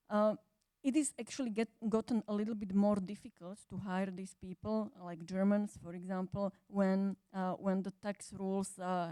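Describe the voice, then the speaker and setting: A woman's voice: medium pitch, mellow, no room sound. A woman answering questions on a business conference panel, chatty, with frequent "like" and "right?". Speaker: panelist, service center manager. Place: conference hall.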